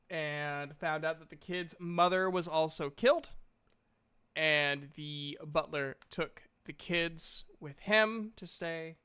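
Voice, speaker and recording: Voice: mid-range voice, sharp tone, clear, resonant tone. A game master hosting a livestream, chatting casually and recapping a tabletop game in an informal, sweary way. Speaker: game master and stream host.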